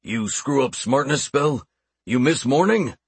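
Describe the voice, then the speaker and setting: A male narrator plays an old orc shaman from a fantasy audiobook, gruff and blunt, with a heavy heart. His grammar is primitive and clipped, and the voice is slightly unclear and recorded very dry. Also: mid-range voice, lively intonation, slow, no room sound, exaggerated voice. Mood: sharp. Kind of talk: audiobook character voice.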